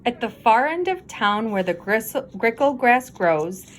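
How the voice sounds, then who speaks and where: Animated, unhurried woman reading a storybook. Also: a little high, a little hiss or hum. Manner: expressive, deliberate delivery for children. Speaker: storybook reader. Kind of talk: read-aloud of a rhyming children's story.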